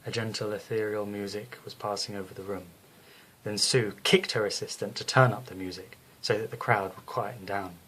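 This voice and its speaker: Bright, forceful male storyteller. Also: lowish voice, fairly even intonation, moderate pace, slightly rough, low-quality recording. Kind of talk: scripted bedtime story narration.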